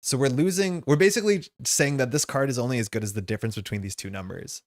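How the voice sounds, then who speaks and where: Casting: male game streamer, casual and rambling, average pitch, recorded dry with no room sound. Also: fast talker, no echo. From Canada. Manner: rambling, thinking aloud. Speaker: live streamer playing a card game. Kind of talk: casual live stream commentary.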